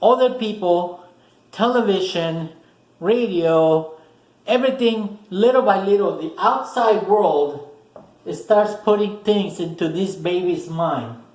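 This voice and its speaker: A man with a Spanish accent, a native Spanish speaker, explaining a self-help lesson slowly in short, repeated phrases. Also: sits high, a little mellow, roomy sound, low-quality recording. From Spanish-speaking country. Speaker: self-help video host. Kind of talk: explanatory talk to camera.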